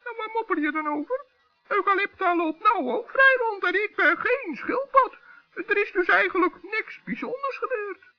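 A man's voice: extremely high pitch, lively intonation, normal speed, voice pushed up. A man voicing a small, naive forest gnome in a children's radio play, hesitant and plaintive. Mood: defensive. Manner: hesitant, plaintive, easily tempted. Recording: dull old radio recording.

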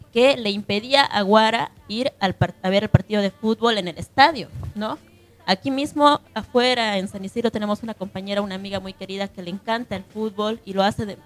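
A woman hosting a radio programme from a booth, recapping and discussing in an unscripted way, often adding tag questions. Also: medium pitch. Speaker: radio host.

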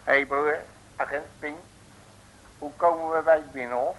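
A man's voice: on the high side, fairly even intonation, normal speed, fairly bright tone, natural voice, rough recording. A man playing a brash, cocky, boastful detective in a 1950s radio serial, bossy and full of colourful folksy slang. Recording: old radio recording.

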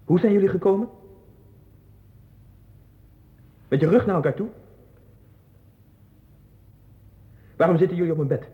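A man's voice: high voice, rapid-fire, lightly acted. An actor playing an agitated, childlike man in an institution in a 1960s radio drama, insistent and abrupt. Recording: muffled, phone-like 1960s radio recording.

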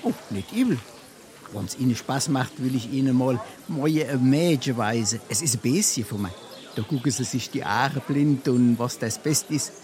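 An actor playing a wily, boastful drinker and scrounger in a stage comedy, glib and theatrical in Darmstadt Hessian dialect. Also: male voice, mid-range voice, lively intonation, moderate pace, darkish tone, lightly acted.

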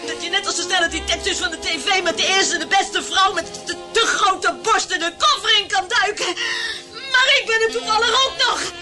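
Shrill jealous wife. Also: female voice, moderate pace, over music. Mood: tearful rage. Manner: shrill, tearful, foul-mouthed ranting. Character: furious jealous wife berating her detective husband. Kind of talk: radio play acting.

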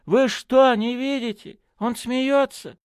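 A man voicing an excitable, apologetic little priest in an audiobook, with a flustered, gushing way of exclaiming. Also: high voice, moderate pace, no room sound, clean studio sound, voice pushed up. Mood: astonished, excited. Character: excitable, apologetic, stubby little male priest with childlike wonder. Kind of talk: audiobook character acting.

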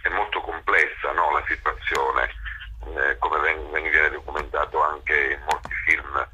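A man with a gravelly, husky voice answering as an interview guest, explaining haltingly and emphatically with drawn-out words. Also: a bass voice, flat delivery, sharp tone, muffled recording, slight background noise. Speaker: interview guest, actor and director. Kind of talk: conversational interview.

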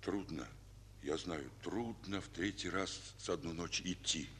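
An actor playing a weary, fatherly general in a Russian war drama, speaking in a pensive, halting way. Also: low-pitched, fairly bright tone, slight background noise. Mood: grave, fatherly. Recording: old reverberant radio recording with music.

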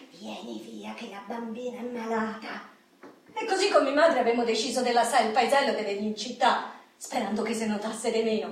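Actress as a feisty high-voiced Roman woman, echoey hall. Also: natural voice. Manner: vivid, colloquial storytelling, acting out quoted people. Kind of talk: theatrical monologue in a radio play. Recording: echoey hall, distant mic, clicks.

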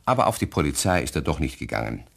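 A man's voice: very deep voice, very animated, quick pace, vocal fry, a bit bright, exaggerated voice. A man narrating a scripted children's story in a German radio play, speaking vividly to young listeners. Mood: calm telling. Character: male storyteller addressing children.

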